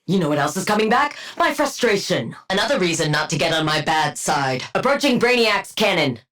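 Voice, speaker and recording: Icy female super-scientist villain, video game, lower pitch, highly varied intonation, arrogant, clipped precise diction, dry menace. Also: very animated, fairly quick, fairly bright tone. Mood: smug, controlled. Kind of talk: video game character voice lines.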